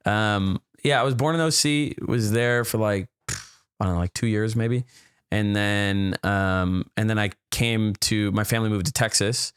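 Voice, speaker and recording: A man's voice: on the low side, steady tone, a little slow, smooth, dry recording, clean studio sound. A man in his twenties, a podcast guest chatting casually and rambling with lots of fillers and restarts. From United States. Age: 20s. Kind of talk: casual chat.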